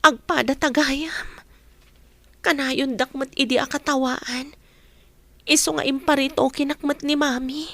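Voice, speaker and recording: A woman's voice: high voice, steady tone, measured pace, long pauses, a bit bright, dry recording. A chatty young Ilocano mother. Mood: playful. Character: young wife and mother, cheerful. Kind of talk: Ilocano radio drama acting.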